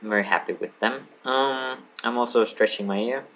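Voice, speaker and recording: A man's voice: mid-range voice, moderate pace, pushed and bright. A young man in his late teens, a native Swedish speaker, rambling casually to a webcam at home, full of ums, asides and apologies. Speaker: vlogger. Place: home room lit by a lamp.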